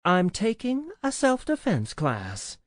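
Audiobook role: woman as stubborn young female lead, curt, defiant, very animated pitch. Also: takes it slowly, no room sound, clean studio sound, full character voice.

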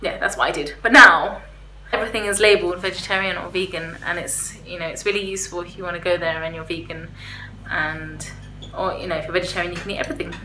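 Young female vlogger, casual and a little hesitant, bright tone, recorded in an echoey room. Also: lowish voice, steady tone, quick pace. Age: young adult. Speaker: vlogger reviewing restaurants. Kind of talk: casual chat to camera. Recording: roomy echo, faint background hiss.

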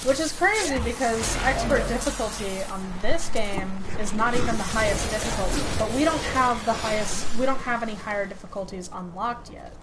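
A woman's voice: on the high side, brisk, few pauses. A woman streaming a video game and chatting casually with her co-op partner. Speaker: gaming streamer. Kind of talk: casual co-op gameplay chat. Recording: loud game noise behind voice. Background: game combat sounds and ambience.

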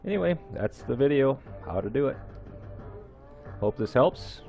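A man's voice: mid-range voice, sing-song, a little slow, vocal fry, mellow, soft background music. A man hosting a how-to video series, walking through a computer task step by step in a plain voice-over. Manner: plain, step-by-step instructions with some fillers and restarts. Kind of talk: screen-recorded tech tutorial. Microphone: voice-over recorded over screen capture.

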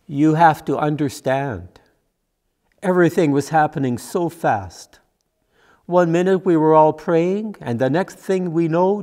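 An older man acts out an anguished disciple in a church monologue. He pleads haltingly and slowly in a fairly high male voice, with long hall reverb around him. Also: a little mellow. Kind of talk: dramatic monologue in a church service. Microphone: gooseneck podium microphone.

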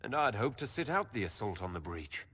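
An actor playing a calm, earnest male elf leader in video game dialogue, measured and dryly understated. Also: fairly low pitch, long reverb tail. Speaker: player character leader.

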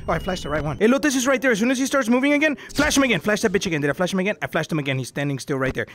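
A young man playing a VR horror game, panicking and yelling, switching between English and Spanish, and losing his voice. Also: very high voice, slight background noise. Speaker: VR gaming YouTuber. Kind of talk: loud, frantic gameplay commentary. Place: indoor room, in front of a green screen.

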